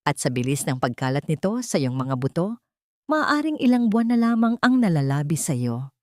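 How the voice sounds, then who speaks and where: A woman's voice: low voice, sing-song, normal speed, darkish tone, no echo, studio quality. A woman voicing a hospital doctor who delivers news in a cold, emotionless way.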